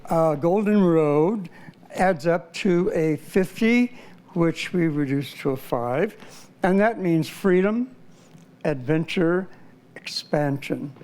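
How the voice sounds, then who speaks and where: A man's voice: on the high side, takes it slowly, a little mellow, a little hiss or hum. A man being interviewed as a numerologist, earnestly explaining ideas step by step with some fillers. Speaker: numerologist guest.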